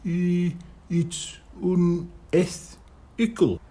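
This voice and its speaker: A man's voice: medium pitch, huge pitch swings, takes it slowly, dark tone, slightly rough, pitched above the performer's own voice, heavily acted. A man voicing a doubtful young boy who speaks carefully and hesitantly in an audiobook.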